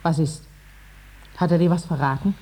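An actress playing a farmer's curious, matter-of-fact wife with a brisk, questioning manner in a 1950s German radio play. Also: female voice, deep voice, fairly even intonation, dark tone. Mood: curious, concerned.

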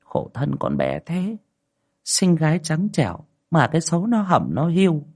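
A man's voice: fairly high pitch, sing-song, measured pace, vocal fry, a little mellow, slightly rough, pitched above the performer's own voice, heavily acted. A man voicing a village woman clucking with pity. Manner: clucking, pitying. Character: gossiping village woman, pitying.

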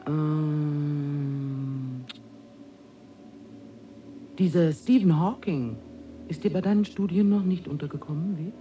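A mocking cosmic spirit in a radio play, slow and theatrical, swinging widely in pitch. It talks down to its listener with emphatic scorn, over music. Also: male voice, animated, mellow. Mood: scornful. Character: mocking, condescending cosmic spirit, airy and abstract. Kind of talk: audio drama acting. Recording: music under the voice.

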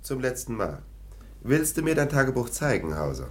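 An actor playing a scornful, domineering police lieutenant in a German radio play, with a sardonic, dismissive manner. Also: male voice, medium pitch, big pitch swings, moderate pace. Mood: threatening, scornful.

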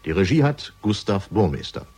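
A man reading scripted narration for a crime radio drama, measured and dramatic, with deliberate pauses. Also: lowish voice, big pitch swings, darkish tone, breathy edge.

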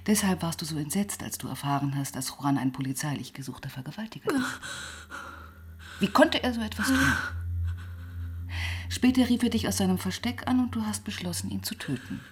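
An actress playing a weary, relentless police detective who also narrates in a radio crime drama, intense and often dropping to a whisper. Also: female voice, lowish voice, animated, brisk, pauses often, husky. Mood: pressing, accusing.

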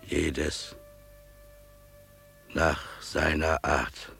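A humble, pious keeper of beasts in a radio drama. His voice is extremely low and creaky, and he speaks slowly and solemnly, with reverence. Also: fairly even intonation, a bit bright, soft background music, full character voice. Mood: solemn, reverent. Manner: slow, solemn, reverent delivery. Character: humble, pious male animal keeper, father pleading with a prince. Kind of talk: German radio play acting.

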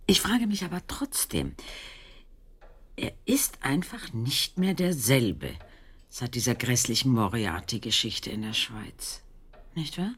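An actress playing a concerned, inquisitive Victorian woman in a German radio play, with an expressive, questioning and musing delivery. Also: extremely low pitch, slow pace, slightly rough, exaggerated voice.

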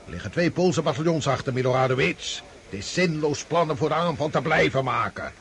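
An actor playing a weary, stubborn old Russian commander-in-chief in a radio drama, grumbling and commanding. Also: male voice, a little high, lively intonation, a little fast, fairly bright tone, slight background noise. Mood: dismissive, weary. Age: older.

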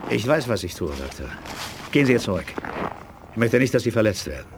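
An actor playing a brisk, resolute military officer in a 1980s German radio play, speaking in curt commands. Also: male voice, on the low side, very animated, background noise. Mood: commanding.

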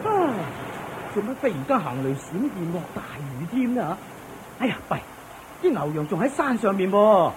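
A woman's voice: medium pitch, big pitch swings, moderate pace, noisy background, lightly acted. A woman playing a proud, boastful rich landlord in a Cantonese radio drama. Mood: flustered. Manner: boastful, shouting at the sky.

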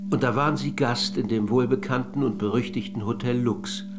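An actor playing a polite but probing middle-aged interviewer in a radio play, with measured, insistent questioning. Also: male voice, medium pitch, fairly even intonation, music in the background, natural voice. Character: courteous but probing male interviewer of an old lady.

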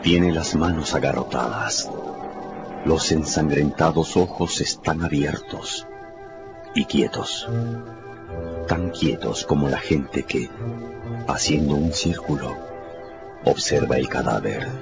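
A man's voice: rumbling low, slow, gravelly, long pauses. A man giving theatrical, emphatic scripted narration for a radio drama. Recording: muffled, under prominent music. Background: dramatic music.

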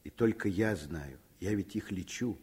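Principled doctor and loving dad, rough-edged lowish voice, emphatic moralizing, vintage radio theatre. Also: male voice, lively intonation, a little slow, darkish tone, full character voice. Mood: scornful indignation. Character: principled doctor father, fond of daughter, indignant at injustice. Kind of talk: 1950s Soviet radio play acting.

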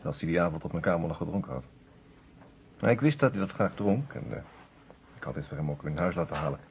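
Very low-voiced reflective narrator. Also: male voice, lively intonation, fast, darkish tone. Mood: reflective, subdued. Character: reflective male lawyer recounting events, reserved, educated. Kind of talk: radio play first-person narration. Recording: muffled, noisy old radio recording.

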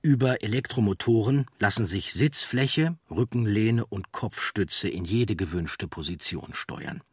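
A man reading scripted documentary narration in a measured, explanatory manner. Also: fairly low pitch, slightly rough, no room sound, clean studio sound. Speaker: audiobook narrator.

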